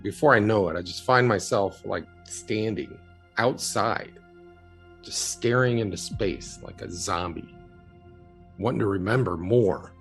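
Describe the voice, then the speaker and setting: A man playing a haunted, earnest UFO witness in an audio drama, telling his story haltingly. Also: on the low side.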